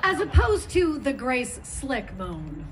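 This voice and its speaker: A woman performing a comic stage monologue as a gleeful, bawdy character, theatrical and teasing with drawn-out pauses. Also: high voice, sing-song, heavily acted. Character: bawdy, gleeful woman cataloguing kinds of moans. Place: live performance at a bar and grill. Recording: very noisy live stage recording.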